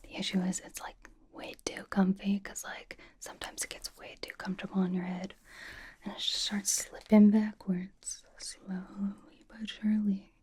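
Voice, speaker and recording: Girl doing a blunt but doting young pop idol gal, soft whispery asmr, relaxed dark tone, dry slangy talk. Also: medium pitch, normal speed, husky. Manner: casual slangy drawl, dry and deadpan. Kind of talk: whispered ASMR personal attention roleplay.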